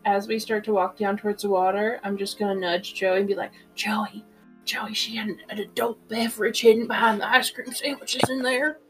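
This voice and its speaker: A woman's voice: medium pitch, level tone, brisk, fairly bright tone, soft background music, poor audio. A young adult woman chatting casually as a tabletop player, easygoing and rambling. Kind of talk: casual in-character roleplay.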